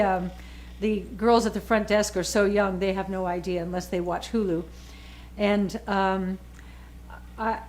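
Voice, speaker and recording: A woman in her sixties, an author, talking casually and emphatically, often repeating words as she makes her points. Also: medium pitch. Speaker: author and guest. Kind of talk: casual chat.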